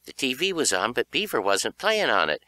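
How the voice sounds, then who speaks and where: A man narrating a children's horror audiobook in an expressive storytelling style. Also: medium pitch, normal speed, dry recording.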